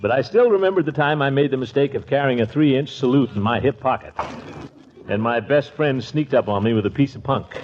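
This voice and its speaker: A man's voice: medium pitch, a little fast, a little mellow, close to an everyday voice. Man acting pompous blustery uncle, muffled old radio. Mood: nostalgic, jovial. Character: pompous, fussy middle-aged uncle recalling his misadventures. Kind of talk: 1940s radio comedy acting. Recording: muffled, noisy 1940s radio recording.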